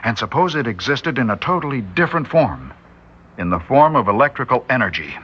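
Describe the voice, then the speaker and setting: Grave doctor, earnest and husky, bright lively voice, lo-fi old radio sound. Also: male voice, medium pitch, fairly quick. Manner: earnest, speculative, urgent. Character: scientist doctor, grave, warning, male. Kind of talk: 1960s radio drama acting.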